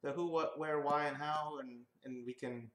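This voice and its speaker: A man's voice: on the high side, steady tone, moderate pace, fairly bright tone. Guy hosting a live show, chatty intros, echoey room sound. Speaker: show host.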